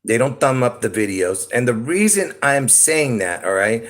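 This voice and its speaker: A man's voice: mid-range voice, animated, moderate pace, fairly bright tone. Rambling man livestreaming from his phone. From Lebanon. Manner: meandering, stops to ask "okay?" and "all right?", chuckles often. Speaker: YouTube livestreamer. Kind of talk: rambling solo livestream monologue.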